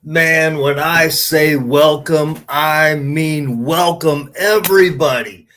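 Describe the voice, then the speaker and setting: Man, high voice, podcast host, casual live chat, showy announcer manner. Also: slow, fairly bright tone, slight background noise.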